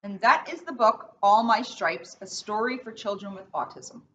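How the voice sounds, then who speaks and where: A woman speaks to children in a plain, encouraging way with a slightly halting delivery. Her voice has a middle pitch and sits away from the microphone, in a dull recording with faint noise behind it. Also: bright, forceful tone. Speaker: police school resource officer reading children's stories. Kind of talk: storybook read-aloud and casual talk to children. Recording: muffled, faintly noisy, distant microphone.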